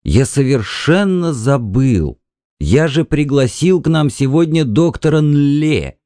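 A man's voice: lowish voice, big pitch swings, measured pace, no echo. A man playing an irritable, demanding, jealous husband in a dramatised audiobook. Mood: controlled, suspicious. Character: jealous, stern, domineering Martian husband.

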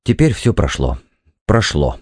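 A man's voice: on the low side, very animated, unhurried, no echo. A man playing an irritable, demanding, jealous husband in a dramatised audiobook. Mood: weary, subdued. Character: jealous, stern, domineering Martian husband.

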